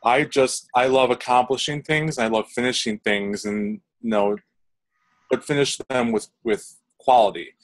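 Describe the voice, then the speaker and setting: A young man, a composer, answers questions in a loose, chatty way. His voice sits at an ordinary middle pitch. He circles his points with fillers and false starts. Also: fairly even intonation, moderate pace. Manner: rambling, many restarts and fillers. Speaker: composer interviewee. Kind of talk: casual interview answers.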